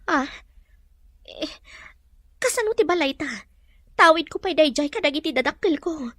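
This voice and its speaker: Bright, high-voiced, hesitant young mother played by a woman. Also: expressive, normal speed, dry recording, studio quality. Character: hesitant, modest young mother, wary but devoted. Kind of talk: Ilocano radio-style drama acting.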